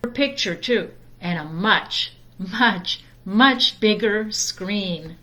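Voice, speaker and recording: A woman reads a children's storybook aloud at a slow, deliberate pace. Her voice is medium in pitch, with expressive storytime phrasing. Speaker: storytime reader.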